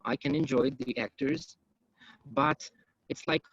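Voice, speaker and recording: A man giving a conference talk as an English teacher, pausing often with ums and uhs. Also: mid-range voice, steady tone, fairly bright tone. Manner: hesitant, many ums and uhs. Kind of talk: conference presentation. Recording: dull, muffled, dry.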